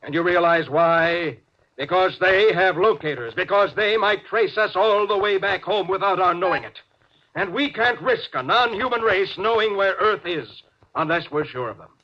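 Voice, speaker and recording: An actor playing a suspicious, domineering spaceship captain in a 1950s radio drama, sardonic and commanding. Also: male voice, high voice, animated, normal speed, sharp tone, full character voice. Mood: angry, suspicious. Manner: sardonic, domineering, clipped orders. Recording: noisy, dull 1950s radio recording.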